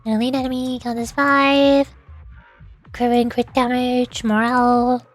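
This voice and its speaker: A woman's voice: on the high side, flat delivery, measured pace, soft background music. A woman streamer chatting casually and reading game text aloud in a playful, rambling way. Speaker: VTuber streamer.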